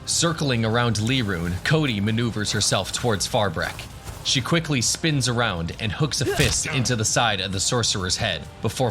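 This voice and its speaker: A man's voice: on the low side, fairly bright tone. A man narrating a scripted fantasy adventure as the storyteller of an audio drama. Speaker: narrator, game master.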